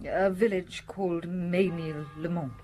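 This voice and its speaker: A woman's voice: lowish voice, fairly even intonation, a little slow, warm tone, faint music behind, close to an everyday voice, low-quality recording. An actress playing a guarded, frightened female hairdresser in a radio drama, answering tersely and defensively.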